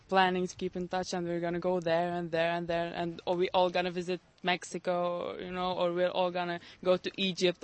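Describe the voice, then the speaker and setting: Even-toned young woman from Lebanon. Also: on the low side, slight background noise. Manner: informal, rambling non-native English. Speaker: language course student. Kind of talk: casual interview answers.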